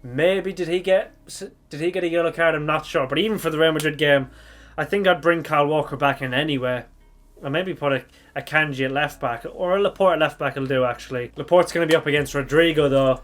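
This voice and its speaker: A young man with a slightly croaky voice from shouting, chatting casually through a football reaction vlog, thinking aloud and correcting himself as he goes. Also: on the high side, brisk, faint music behind. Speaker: football fan channel host.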